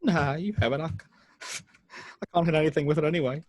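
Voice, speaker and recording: A man playing a defeated, self-blaming young man under zombie siege in a table read, halting and exasperated. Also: a little high, a little mellow, no echo, close to an everyday voice. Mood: urgent, regretful.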